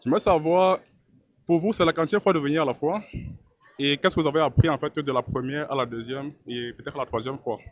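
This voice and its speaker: A man hosts his channel and interviews guests, moving things along with short, encouraging prompts. His voice sits slightly high and sounds dry and close to the mic. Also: fairly quick, dry recording. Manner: brief, encouraging acknowledgements. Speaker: YouTube host, interviewer. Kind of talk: casual interview hosting. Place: busy trade fair hall.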